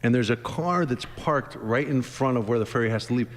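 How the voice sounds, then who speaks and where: A man's voice: medium pitch, fairly quick. An upbeat man in his thirties, from Greece, tells wild stories about his travels on a podcast and often breaks into laughter. He speaks with a mid-range voice. The room adds a long, echoing reverb. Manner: energetic, laughs through stories, faith-driven. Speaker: podcast guest, youth ministry worker. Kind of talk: casual storytelling.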